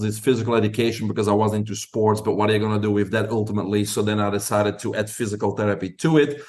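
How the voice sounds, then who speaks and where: A middle-aged man from Belgium with a Belgian accent, a podcast guest telling his story in long, confident answers. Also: on the low side.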